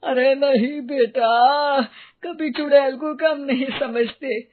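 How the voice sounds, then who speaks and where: Anxious superstitious old Indian-village mother played by a woman: high, unhurried, dramatic scolding, for an audio story. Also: fairly even intonation. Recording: tinny phone-like narrow band.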